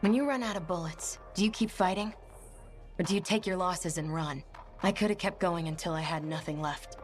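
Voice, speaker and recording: A woman's voice: fairly low pitch, fairly quick, faint music behind, close to an everyday voice. A woman playing a prickly, world-weary townswoman, blunt and resigned, in a video game. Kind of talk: video game dialogue acting.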